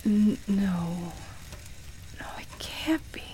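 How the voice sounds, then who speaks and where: An actress playing a grieving, skeptical woman in a radio play, emotional and halting, easily shaken as she speaks. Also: medium pitch, expressive, mellow, a little hiss or hum. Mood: shaken, disbelieving. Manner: halting, stammering, dramatic. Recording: music behind the voice.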